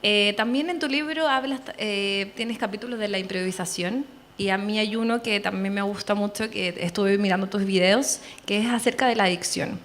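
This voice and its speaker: A woman's voice: medium pitch, moderate pace, a bit bright, a little hiss or hum. A young woman, a journalism student, interviewing a guest in a hesitant way with many filler sounds. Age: young adult. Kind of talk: conversational interview.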